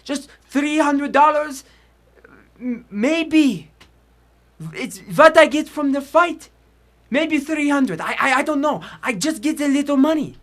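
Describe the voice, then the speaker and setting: Elderly, nervous boxing manager, very high pitched, meek and hesitant, 1940s radio acting. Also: male voice, lively intonation, fairly bright tone, exaggerated voice. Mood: anxious pleading. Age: older. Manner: halting, hesitant, pleading. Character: timid, anxious old immigrant fight manager, pleading and humble.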